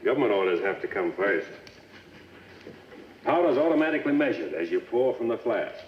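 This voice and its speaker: A man's voice: fairly low pitch, sing-song, fairly quick. An actor playing a businesslike traveling gun salesman in a radio western, with plain-spoken, assured sales patter. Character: businesslike traveling frontier gun salesman, proud of his wares. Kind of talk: old-time radio western acting. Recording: muffled, echoey old radio recording, faint noise.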